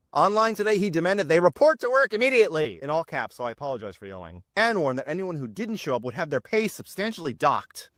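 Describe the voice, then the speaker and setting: High, lively, wry American male presenter. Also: no echo. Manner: wry, sarcastic. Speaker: news satire presenter. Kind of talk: scripted satirical news narration.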